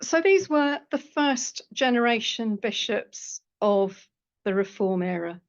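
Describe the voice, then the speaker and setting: A woman historian reading out a prepared seminar paper in a measured way, with dry asides. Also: a little high, animated, muffled recording. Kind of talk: academic lecture read from a prepared paper. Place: lecture room at a college.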